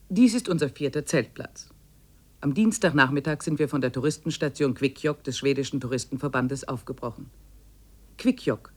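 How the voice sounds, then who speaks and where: An actor playing a sober, reflective hiker who reads out his travel diary in a 1950s radio drama, measured and precise. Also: on the high side, close to an everyday voice. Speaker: narrator.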